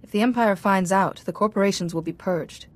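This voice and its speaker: An actress playing a calm, principled young Jedi in a video game, measured and earnest. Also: female voice, mid-range voice, lightly acted. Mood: firm, measured. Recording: music playing behind the voice.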